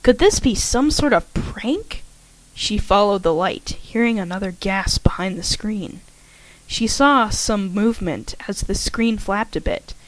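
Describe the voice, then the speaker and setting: A woman reads a fan story aloud in a plain, middle-pitched voice, a little shaky at times. She is recorded dry and close on a home microphone. The delivery stays even as she narrates. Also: unhurried, no room sound, poor audio. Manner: slightly shaky reading. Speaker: fanfiction reader. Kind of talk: amateur audiobook narration.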